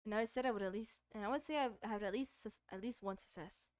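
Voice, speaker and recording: A woman's voice: mid-range voice, quick pace, a little mellow, no echo, studio quality, pitched below the performer's own voice, close to an everyday voice. A woman voicing a supportive, modest young classmate, a visual novel protagonist, in a casual, plain-spoken way. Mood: reassuring.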